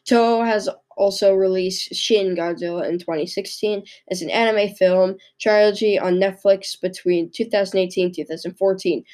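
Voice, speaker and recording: A young woman with a cold and a hoarse voice, hosting a podcast and reading her notes in a halting way, often stumbling and restarting. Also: medium pitch, a little mellow. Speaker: podcast host. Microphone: microphone into a laptop.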